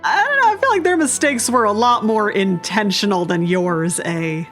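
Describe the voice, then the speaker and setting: A woman's voice: medium pitch, lively intonation, moderate pace, fairly bright tone. A woman hosting a video game commentary, speaking casually and informally with an irreverent, jokey manner. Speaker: video game streamer, host. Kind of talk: casual game commentary, reading along and reacting. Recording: voice over game music. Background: game music.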